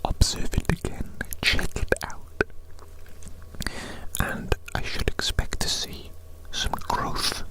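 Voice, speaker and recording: An ASMR performer voicing a doting but fussy, scolding creature who examines a patient, in a breathy whisper with repeated phrases. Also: takes it slowly, natural voice, rough recording.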